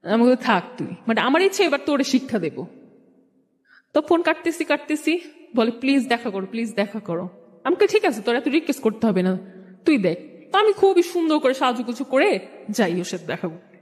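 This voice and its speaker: A woman's voice: on the high side, very animated, fairly quick. A young woman with a Bangladeshi accent telling a personal story in colloquial speech, acting out conversations as she goes. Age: young adult. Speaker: guest telling own life story. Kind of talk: personal storytelling with re-enacted dialogue. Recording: long echoing hall reverb.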